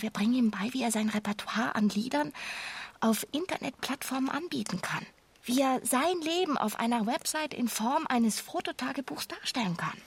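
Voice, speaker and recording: An actress playing a middle-aged wife, an urgent, persuasive and self-righteous idealist, in a naturalistic radio drama. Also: female voice, on the high side, breathy edge, full character voice. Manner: insistent, gushing, halting repeats for emphasis.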